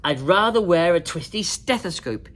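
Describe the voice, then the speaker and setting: A woman's voice: lowish voice, lively intonation, normal speed. A woman voicing a self-important, slightly bumbling male knight in a children's storybook, declaiming pompously. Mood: eager.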